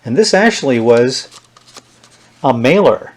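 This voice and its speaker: A man with a medium-pitched voice talks through a hands-on demonstration in a casual way. He is unscripted and thinks aloud as he tests, like a hobbyist reviewer showing a device. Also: big pitch swings, measured pace. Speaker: vintage electronics reviewer.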